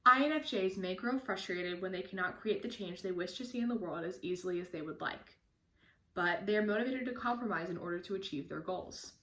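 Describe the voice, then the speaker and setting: A woman's voice: medium pitch, a little fast, fairly bright tone, reverberant. A teenage girl earnestly reading a prepared personal statement for a scholarship application. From United States. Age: teens.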